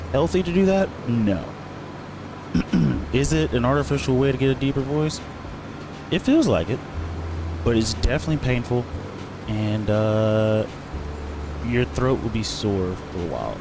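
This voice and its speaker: A man's voice: mid-range voice, noisy background. A young man chatting casually while streaming a farming game, rambling with lots of fillers and self-corrections. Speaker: gaming streamer.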